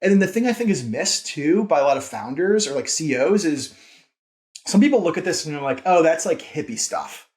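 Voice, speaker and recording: Guy, slightly high voice, startup ceo on a podcast, says like a lot, repeats himself to make a point. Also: very animated, fairly quick, darkish tone. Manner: informal, frequent "like", emphatic repetition. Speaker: company founder and CEO, interview guest. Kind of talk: casual podcast conversation.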